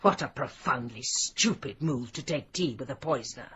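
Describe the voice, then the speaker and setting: An actress playing a spiteful, mocking village poisoner with an English accent in a radio drama. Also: female voice, very deep voice, lively intonation, moderate pace, highs cut off, very noisy. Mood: mocking menace. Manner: mocking, theatrical, condescending.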